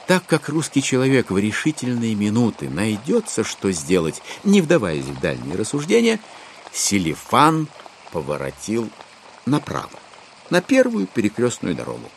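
A man narrating classic literature as a storyteller in an expressive, dramatic audio production. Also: on the low side, moderate pace, a little hiss or hum. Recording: music behind narration. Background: music.

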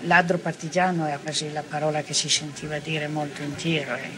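Older man, slightly high voice, testimony. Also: level tone, fairly quick, background noise. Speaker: former partisan giving testimony. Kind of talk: recollective oral testimony.

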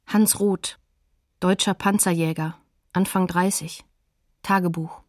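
A woman's voice: lowish voice, steady tone, slow, no room sound, clean studio sound. A woman announcing sources in a scripted documentary, factual and clipped.

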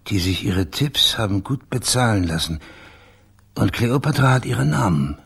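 An actor playing a blunt, dogged investigator in a radio crime drama, terse and matter-of-fact, with a husky, gravelly voice. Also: male voice, on the low side, expressive, moderate pace, fairly bright tone, exaggerated voice. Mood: brisk reasoning. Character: dogged, blunt male investigator questioning witnesses and reasoning out a murder. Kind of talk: naturalistic German radio crime drama acting.

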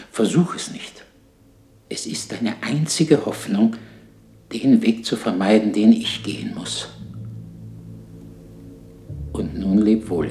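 A tormented male ghost in an old radio play. He speaks very slowly in a lamenting, solemn warning. The low voice slips toward whispering and rings in heavy echo. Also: a little mellow. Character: tormented ghost of a dead miser, remorseful, warning. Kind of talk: 1960s German radio drama acting. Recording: echoey 1960s radio play recording.

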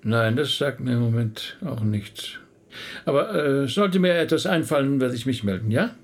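An actor playing a harried, earnest rabbi in a radio drama, speaking in a measured way. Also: male voice, mid-range voice, animated, big-room sound, close to an everyday voice. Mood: polite. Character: harried, apologetic male rabbi who also preaches.

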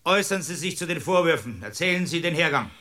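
Exasperated bavarian judge, highish voice that jumps around, bossy and official, radio play. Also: male voice, lively intonation, fast, bright, forceful tone. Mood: stern reproof. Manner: authoritative, scolding, official. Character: exasperated, stern Bavarian district court judge. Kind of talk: comic radio courtroom drama.

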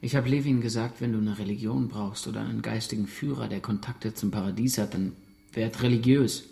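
An actor playing a defensive former soldier and military contractor in a radio drama, speaking in a curt, guarded way. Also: male voice, lowish voice, fairly even intonation, a little fast, vocal fry, mellow. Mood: guarded, firm.

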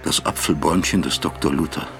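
An actor playing a remorseful, self-accusing father who narrates a radio drama in a gravelly, husky voice, reflective and bitter. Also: extremely low pitch, fairly quick, soft background music. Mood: haunted, tender.

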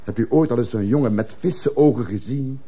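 A man's voice: medium pitch, fairly quick, dark tone, close to an everyday voice. An actor playing a scornful man arguing a case in court in a radio drama, mocking and rhetorical. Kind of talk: theatrical radio drama oratory. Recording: dull, lo-fi old radio recording.

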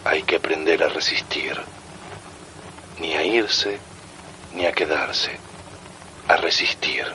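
A man recites poetry in a low, forceful voice. He takes it slowly. Faint rain-like noise sits behind him. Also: steady tone, poor audio.

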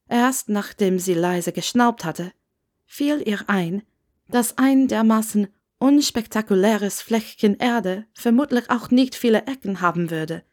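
A woman narrates a piece of fiction from a script. Her voice sits at an average pitch for a woman, in the style of an audiobook. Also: a little mellow.

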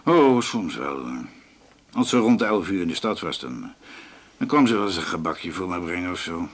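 A thoughtful policeman in a radio play, low and creaky. His pitch moves freely as he chides gently and hesitantly, always understated. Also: very animated, normal speed, exaggerated voice. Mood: reflective. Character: mild, thoughtful widowed policeman. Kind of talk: radio drama acting.

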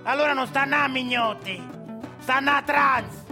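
A man with a Roman accent playing a cheeky, lecherous old grandfather who drawls and shouts bawdy taunts. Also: extremely high pitch, moderate pace, over music.

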